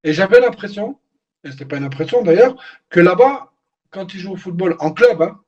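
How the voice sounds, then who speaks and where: Male ex-footballer guest, French-raised, frank and halting, muffled lo-fi audio. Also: high-pitched, expressive, darkish tone. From France. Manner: candid, repeats words while searching for them. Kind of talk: casual interview.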